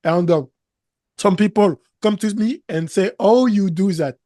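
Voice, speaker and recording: A man in his thirties speaking English with a French accent, his first language being French. He talks slowly and haltingly as an interview guest, repeating words as he searches for phrasing. The sound is dry and close, seated in a studio. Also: sits high, dark tone, no room sound. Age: 30s. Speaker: business coach guest. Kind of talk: interview conversation. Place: studio set, seated in armchair.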